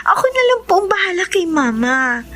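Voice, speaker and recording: An actress playing a caring, protective young granddaughter in a Filipino radio drama, speaking respectfully and earnestly. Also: female voice, very high-pitched, very animated, moderate pace, a bit bright, exaggerated voice. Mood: concerned, earnest. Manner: respectful, pleading.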